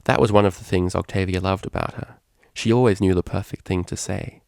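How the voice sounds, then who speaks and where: A man reading a story aloud as scripted, expressive narration. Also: deep voice, brisk, vocal fry, no echo, clean studio sound. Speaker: fan fiction reader.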